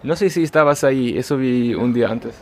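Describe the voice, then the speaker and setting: A man with a German accent chats casually as a podcast co-host. He talks at an ordinary pitch and thinks aloud, pausing often on fillers and restarting his sentences. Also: slight background noise. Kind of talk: casual chat.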